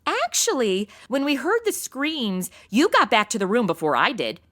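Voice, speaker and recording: A woman's voice: fairly high pitch, sing-song, normal speed, fairly bright tone. An actress playing a brash, self-absorbed, sassy modern American woman in an audio comedy, blunt and flippant. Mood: flippant, impatient. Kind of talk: comedy audio drama acting.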